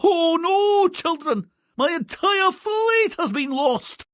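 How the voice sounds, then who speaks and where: A man's voice: very high voice, animated, takes it slowly, fairly bright tone, no echo, studio quality, heavily acted. A man playing a fretful, cowardly ruined merchant father for a video game, melodramatic and self-pitying, stammering with fear. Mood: distraught, self-pitying. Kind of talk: theatrical video game cartoon acting.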